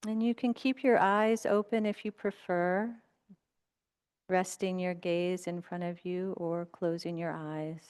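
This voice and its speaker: A woman with a smooth, clear and fairly low voice leads a guided meditation. She gives gentle, unhurried instructions and leaves long pauses between phrases, as a meditation teacher does. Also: little pitch movement.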